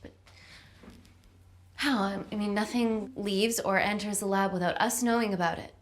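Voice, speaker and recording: A woman's voice: medium pitch, fairly even intonation, moderate pace, natural voice. An actress playing a composed woman scientist in a sci-fi film, speaking naturally with a dry, matter-of-fact manner. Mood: puzzled, concerned. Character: composed woman scientist leading a high-security lab.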